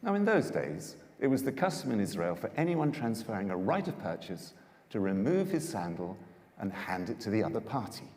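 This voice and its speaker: A man narrating a dramatised Bible story in a deliberate, theatrical way. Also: on the low side, sing-song, darkish tone. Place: large church hall. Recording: echoey hall with long reverb.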